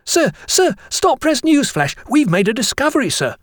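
A man voicing an excitable, innocent eleven-year-old schoolboy with eager, earnest delivery in a storybook. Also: high-pitched, quick pace, no room sound, clean studio sound, pitched above the performer's own voice. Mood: excited. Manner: breathless eagerness, protesting earnestly.

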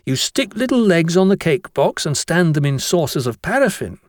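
A man voicing an earnest schoolboy patiently explaining how to keep ants from escaping, with a lively voice. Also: fairly high pitch, moderate pace, dry recording, clean studio sound, pitched above the performer's own voice. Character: eager schoolboy explaining an ant trap.

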